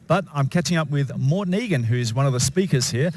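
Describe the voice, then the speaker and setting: A man interviewing a speaker in a conference lounge, chatty and quick, with lots of short acknowledgements. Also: mid-range voice, animated, a little mellow, no echo.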